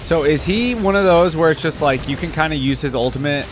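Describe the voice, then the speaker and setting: A man's voice: a little high, fairly quick, a little hiss or hum. A man hosting a reaction video, commenting casually and thinking aloud as he goes.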